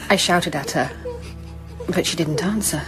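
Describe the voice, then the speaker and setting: A woman's voice: fairly low pitch, measured pace, over loud music, lightly acted, poor audio. An actress playing a genteel, anxious young Englishwoman in a radio drama, well-spoken, hesitant and emotional. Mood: shaken, haunted.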